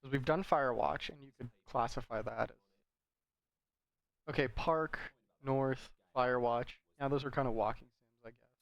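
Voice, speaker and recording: Male gameplay commentator, mid-pitched, conversational and meandering, unsure phrasing, recorded dry. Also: steady tone, moderate pace, gravelly, dry recording, muffled recording. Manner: rambling, conversational, hedging. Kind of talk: casual game commentary.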